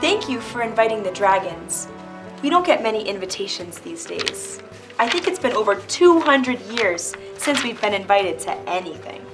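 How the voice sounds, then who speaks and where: Lively wistful old dragon, female-acted. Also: medium pitch, normal speed, over music, close to an everyday voice. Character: polite, neglected centuries-old dragon. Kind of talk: children's reader's theater acting.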